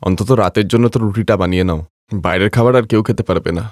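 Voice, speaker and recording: A man's voice: low voice, racing, darkish tone, no room sound, studio quality, natural voice. A man voicing a practical, caring husband in a cartoon family story, reasoning in a matter-of-fact way. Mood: firm, pleading. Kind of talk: Bengali moral-story cartoon voice acting.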